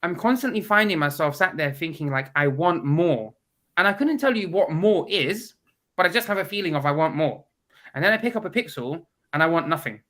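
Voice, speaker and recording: A man's voice: a little high, animated, a little fast, fairly bright tone. A man, a guest in a casual video call chat, talking bluntly and with strong opinions while stuffed up from a cold.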